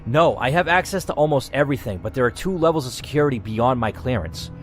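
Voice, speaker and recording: A man's voice: mid-range voice, expressive, fast talker, lightly acted. A man voicing a terrified, guarded woman scientist in her late thirties from a horror game story. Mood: afraid, warning. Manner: fearful, guarded.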